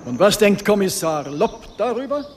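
A man playing a smooth, evasive disgraced official in a radio crime drama, urbane and mock-polite. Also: sits high, sing-song, normal speed, background noise. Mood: knowing, sardonic.

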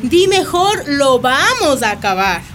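Animated, high-voiced neighbourhood matriarch. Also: female voice, unhurried, slight background noise, exaggerated voice. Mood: rallying, decisive. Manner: folksy, emphatic, decisive. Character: earthy, outspoken older neighbourhood matriarch rallying the women. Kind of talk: radio drama dialogue. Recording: music playing behind.

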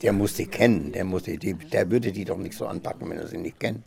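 An actor playing an easygoing, gossipy older husband in naturalistic radio drama, colloquial and fond of tag questions. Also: male voice, deep voice, fairly quick, creaky, warm tone. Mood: murmuring. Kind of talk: naturalistic, improvised-sounding radio drama dialogue.

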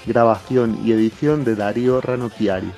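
A man's voice: mid-range voice, fairly even intonation, moderate pace. A man reading scripted credits as an announcer. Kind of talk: scripted narration. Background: guitar music.

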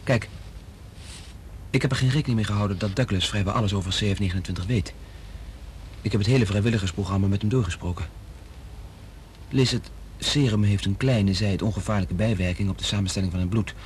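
Actor as grave, low-voiced worried doctor. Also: male voice, fast talker, long pauses, a little mellow, a little hiss or hum, close to an everyday voice. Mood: grave, resigned. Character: tense, conscientious male doctor, worried accomplice. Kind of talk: radio drama acting.

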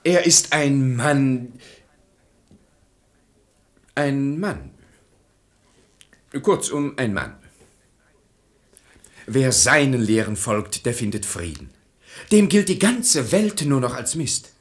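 An actor playing a gullible, pious, middle-aged master of the house in a German verse radio drama, theatrical and emphatic. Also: male voice, mid-range voice, big pitch swings, slow, pauses often. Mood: rapt devotion.

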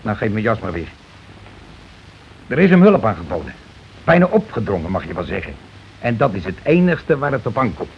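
An actor playing a domineering, self-justifying working-class husband in a radio play, talking in an insistent, colloquial, repetitive way. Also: male voice, lowish voice, sing-song, fairly quick, creaky, pauses often. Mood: self-righteous. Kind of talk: Dutch radio play acting. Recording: dull, noisy old radio recording.

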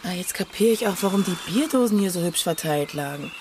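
A woman's voice: fairly low pitch, expressive, fairly quick, natural voice. An actress playing a brash, mocking, blunt-spoken woman in a German radio crime drama. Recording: clearly noisy outdoor scene ambience.

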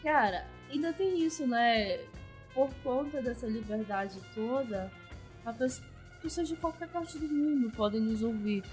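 A woman podcaster chatting casually in an animated, self-deprecating way. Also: fairly high pitch, a little slow, soft background music.

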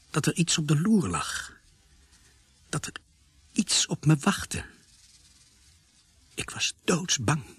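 A man's voice: mid-range voice, slightly rough, no room sound, full character voice. An actor playing an uneasy policeman who narrates his case in a scripted radio drama. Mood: fearful.

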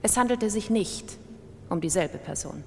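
Female radio-drama reader, medium register, first-person literary text, reverberant with faint rumbling noise. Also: expressive, a little slow, creaky, warm tone. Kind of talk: scripted literary reading of first-person accounts.

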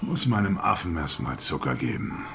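A man's voice: rumbling low, sing-song, moderate pace, creaky, fairly bright tone, heavily acted. An actor playing a sly male hustler who poses as a pious nun in a radio drama. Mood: dry, sly.

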